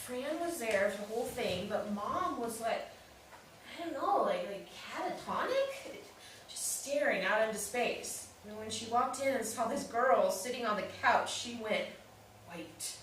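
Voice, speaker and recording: A woman's voice: a little high, lively intonation, unhurried. A woman acting a wounded, defensive, newly separated sister in a staged play reading, candid and emotional. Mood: puzzled, uneasy. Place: live staged reading in an echoey room. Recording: very echoey room, distant mic, faint noise.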